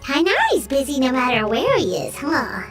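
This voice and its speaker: A woman voicing a tiny, chirpy, excitable fairy companion girl with a childlike, exclamatory way of talking in a video game. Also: high-pitched, normal speed, recorded from a distance. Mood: excited. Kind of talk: video game character acting. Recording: loud music behind the voice.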